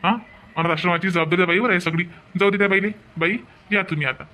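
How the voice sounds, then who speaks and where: A man's voice: high-pitched, steady tone, quick pace, bright, forceful tone. A man voicing a reasonable, mildly exasperated village son in a cartoon drama, placating and insistent, with a rural Vidarbha Marathi accent. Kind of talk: cartoon family drama dialogue. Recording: dull lo-fi sound.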